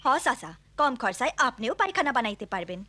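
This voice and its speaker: A woman's voice: fairly high pitch, very animated, fast talker, bright, forceful tone, exaggerated voice. A woman playing a reassuring, knowledgeable villager in a children's cartoon, with a Bangladeshi accent. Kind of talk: children's educational cartoon acting.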